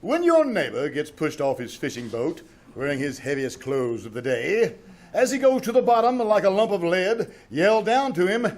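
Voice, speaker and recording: A man's voice: high voice, very animated, normal speed, darkish tone. A man acting a pompous, melodramatic radio-star husband in an old-time radio comedy style. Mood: mock-kindly preaching. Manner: melodramatic, grandiose.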